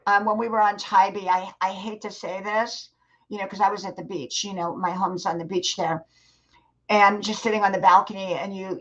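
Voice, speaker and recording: Chatty woman in her sixties, slightly muffled. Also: mid-range voice. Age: 60s. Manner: chatty, repeats herself, encouraging. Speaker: live stream host. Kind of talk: casual live stream chat with viewers.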